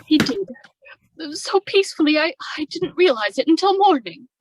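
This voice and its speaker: A woman's voice: very high voice, lively intonation, moderate pace, no room sound, poor audio. A woman playing a young widow in a radio drama, anxious and hesitant, defensive beneath her composure. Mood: tearful grief.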